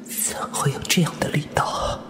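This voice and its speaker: A man playing an injured, cheeky young man in a Chinese wuxia radio drama, speaking softly and faintly. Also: moderate pace, in a whisper, natural voice. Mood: weak, questioning. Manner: breathy, faint, soft. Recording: echoey, loud music underneath.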